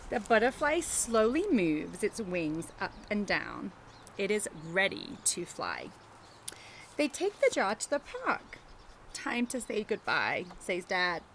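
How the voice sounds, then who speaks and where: Outdoors, with faint background noise of birds and traffic, a woman speaks in a very animated, swooping voice at a medium pitch. She sounds like a teacher at story time, reading to children and chatting with them in an encouraging, questioning way. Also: slow. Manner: encouraging, asks young listeners questions.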